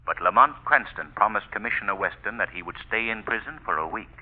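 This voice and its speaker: A man's voice: expressive, quick pace. An actor playing a mysterious male crime-fighter in 1940s radio acting, solemn and commanding. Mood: grave. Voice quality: deep, solemn, with a menacing laugh. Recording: tinny vintage radio broadcast.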